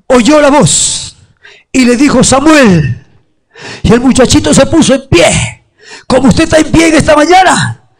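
A male preacher giving a fervent altar call, often shouting and stretching out his phrases. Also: extremely high pitch.

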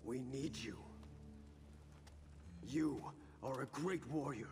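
An actor playing a resolute, proud samurai champion in a video game, speaking in a terse, solemn and commanding way. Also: male voice, mid-range voice, slow pace, close to an everyday voice. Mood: defiant, urgent. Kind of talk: dramatic video game cutscene acting. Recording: game audio with loud music underneath. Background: loud music.

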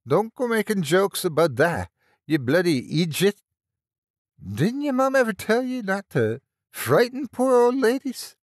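A man's voice: high-pitched, sing-song, measured pace, no echo, studio quality, voice pushed up. A man voicing a fierce, motherly old Irish woman, a salty, scolding hospital nurse in an audio story. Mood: alarmed, angry.

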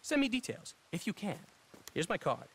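An actor playing an eccentric, chatty young gentleman in a video game, rambling and hesitant with jaunty, quaint slang. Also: sits high, big pitch swings, normal speed, creaky, slight background noise, heavily acted. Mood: nervous, earnest. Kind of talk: video game cutscene acting.

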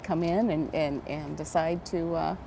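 American woman, middle-aged, slightly low pitch, conversational interview answers, lapel mic, faint hall ambience. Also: fairly even intonation, a little slow, creaky, warm tone, slight background noise. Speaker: event director, interview guest. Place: large indoor event hall.

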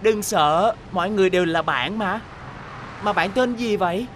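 A woman's voice: medium pitch, normal speed, slight background noise, close to an everyday voice. A woman voicing a friendly, cheerful young city bus in a dubbed cartoon. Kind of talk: Vietnamese cartoon dub.

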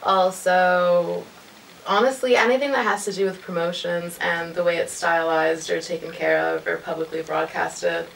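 Creaky-voiced young woman, hesitant in interview. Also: lowish voice, level tone, moderate pace. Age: 20s. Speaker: interview guest, radio station creative director. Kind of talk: casual interview answers. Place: room with couches, amps and instruments. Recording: echoey room, distant mic, faint noise.

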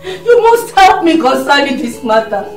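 Tearful pleading wife. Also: female voice, on the high side. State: crying. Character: desperate praying wife.

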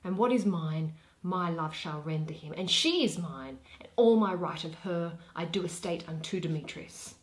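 A young woman giving an expressive dramatic reading of a Shakespeare play, with theatrical, deliberate phrasing and pauses. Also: on the low side. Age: young adult. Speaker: reader.

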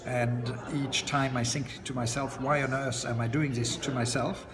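An older man in his sixties talks easily about his work, stopping often on "uh" and chuckling now and then. His mid-pitched voice is caught close by a camera in a lounge, and a long echo trails after his words. Loud crowd chatter carries behind him. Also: flat delivery. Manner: chatty, many "uh" pauses, light chuckles. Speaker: auctioneer interviewee. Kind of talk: relaxed interview answers. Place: indoor lounge by large windows. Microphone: camera close to his face.

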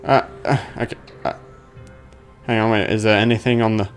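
A man's voice: fairly low pitch, level tone, a little fast, soft background music. A man giving casual, goofy let's play commentary on a horror game, talking to himself as he plays.

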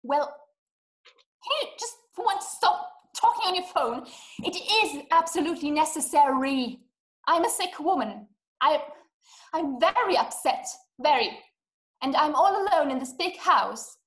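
A noticeably high voice with room echo from a video call. It is a young woman acting a fretful, imperious invalid wife in a play, full of complaint and self-pity. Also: lively intonation, a little slow, fairly bright tone. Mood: demanding, upset. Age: young adult. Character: anxious, demanding, self-pitying invalid wife. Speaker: actress. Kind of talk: stage acting in a radio-play adaptation. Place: small room indoors. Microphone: webcam video call. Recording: roomy laptop video-call sound.

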